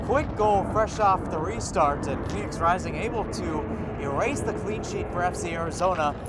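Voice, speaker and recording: A man's voice: sits high, normal speed. A man doing live soccer play-by-play for radio from beside the field, mixing clipped calls with rambling asides. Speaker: play-by-play commentator. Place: outdoors at a youth soccer field. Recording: noisy outdoor ambience, traffic hum.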